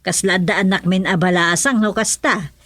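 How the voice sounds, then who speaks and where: A Filipino woman playing a warm, hospitable village mother in a radio comedy drama. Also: medium pitch, fairly even intonation, moderate pace, close to an everyday voice. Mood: warm, amused.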